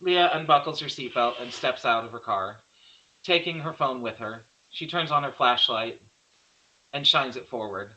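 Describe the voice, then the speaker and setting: Man, mid-pitched bright forceful voice, narrator of a screenplay read, halting delivery. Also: dull audio. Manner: halting, stumbles and corrects himself. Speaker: narrator reading stage directions. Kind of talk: amateur screenplay table read.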